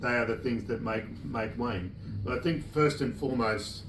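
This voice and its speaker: A man, an author at his book launch, speaking in a relaxed, reflective, plain-spoken way. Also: mid-range voice, normal speed, noisy background. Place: bookshop event room. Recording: echoey room, distant mic.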